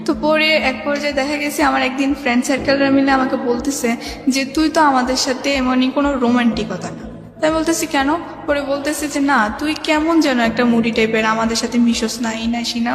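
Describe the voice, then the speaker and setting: A high young woman's voice with a Bangladeshi accent comes through heavy echo, with music clearly playing behind. She tells a personal story informally and ramblingly, often acting as reporter of remembered conversations. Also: fairly even intonation, brisk. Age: young adult. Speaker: guest telling her life story.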